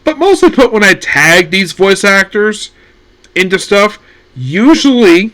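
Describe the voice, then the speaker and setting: Solo anime news host, male, slightly high voice, rambling delivery with frequent fillers. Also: big pitch swings, a little slow, bright, forceful tone. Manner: rambling, many fillers and restarts. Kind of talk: casual solo commentary.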